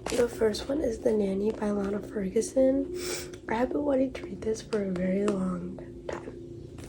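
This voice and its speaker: A woman's voice: mid-range voice, moderate pace. Congested woman chatting about books, noisy. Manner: rambling, filler-heavy, thinking aloud. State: sick and congested. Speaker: book vlogger. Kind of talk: casual chatty vlog. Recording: steady background noise.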